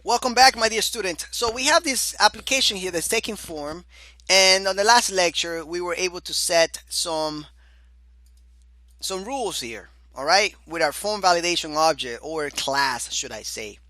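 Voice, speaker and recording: A man teaching a beginner programming course over his screen, casual and chatty, often saying "all right" and calling the listener "my dear student"; his voice is going hoarse. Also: high voice, lively intonation, moderate pace, bright, forceful tone, no room sound. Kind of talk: screen-recorded coding lecture.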